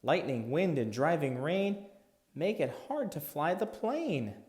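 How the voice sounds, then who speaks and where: A man narrating a rhythmic children's picture book as an expressive read-aloud for young listeners. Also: a little high, a little slow.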